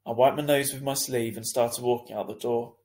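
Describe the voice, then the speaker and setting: A man narrating a children's book as a teacher reading aloud, deliberate and expressive. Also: mid-range voice.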